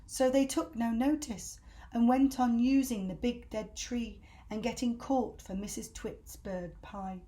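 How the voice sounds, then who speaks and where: A woman reading a children's book aloud in an animated, emphatic storytelling style. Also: fairly high pitch. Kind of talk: expressive scripted narration for children.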